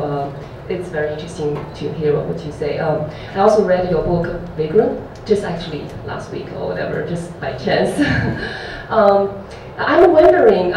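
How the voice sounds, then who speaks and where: Halting woman asking from the audience. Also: fairly low pitch, noisy background. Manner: halting, filler-heavy. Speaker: audience member asking a question. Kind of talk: Q&A question. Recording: echoey hall from far off.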